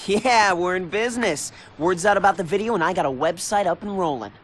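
Young skater buddy, crude, scheming, video game dialogue, faint background noise. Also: male voice, high voice, brisk, a bit bright. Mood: pleased. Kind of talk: video game cutscene acting.

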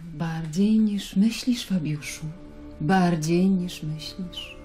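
An actress playing a Roman governor's troubled, insistent wife in a radio play. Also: female voice, lowish voice, takes it slowly, loud music behind the voice. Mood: hushed, troubled. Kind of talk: dramatic radio play acting.

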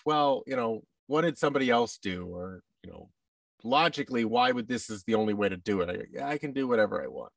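A man's voice: on the low side, gravelly, dry recording, clean studio sound, recorded from a distance. An American man in his thirties chatting casually as an interview guest, in a rambling, self-deprecating way. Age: 30s. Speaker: guest, actor and audio drama producer. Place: large indoor office room. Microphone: boom-arm microphone, headset, video call.

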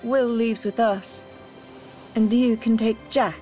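A woman's voice: on the high side, fairly even intonation, slow pace, warm tone, faint music behind. A woman playing a defiant, resolute young pirate leader in a video game cutscene. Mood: firm.

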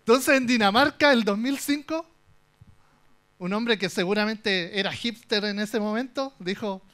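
A young Chilean man with a fairly high voice gives a conference talk from the stage. He jokes his way through the story, lively and playful, with a Chilean accent throughout. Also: unhurried. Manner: animated, joking storyteller. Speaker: conference speaker. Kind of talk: humorous tech talk.

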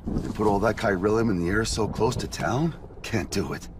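A man's voice: normal speed, natural voice. A man with an American accent playing an earnest, world-weary, plain-spoken, salty-tongued crewman in a video game. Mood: earnest, urgent. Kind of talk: naturalistic video game acting. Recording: loud music and vehicle noise behind. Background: music, vehicle rumble.

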